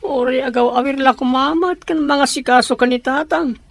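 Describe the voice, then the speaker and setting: Radio drama casting: anxious, earnest younger sibling, high pitched, close dry sound. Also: female voice, steady tone, normal speed, gravelly, no room sound. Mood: resigned.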